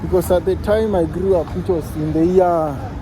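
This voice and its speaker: A man's voice: high voice, steady tone, normal speed. A young Kenyan man with a Kenyan accent, chatting casually and rambling a bit as he vlogs outdoors in the city. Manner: chatty, rambling, self-correcting. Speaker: vlogger. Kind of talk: casual walking vlog. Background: traffic noise.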